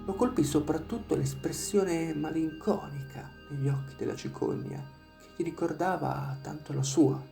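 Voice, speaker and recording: Creaky-voiced fairy tale storyteller over music. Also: mid-range voice, moderate pace, few pauses, dark tone. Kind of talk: scripted fairy tale narration. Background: instrumental music.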